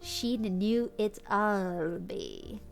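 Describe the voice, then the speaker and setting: A woman's voice: mid-range voice, drawn-out words, vocal fry, soft background music. A woman streamer reading fan fiction aloud in a casual, comedic way, easily cracked up and commenting with amusement as she goes. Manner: giggly, easily cracked up, acts out dialogue.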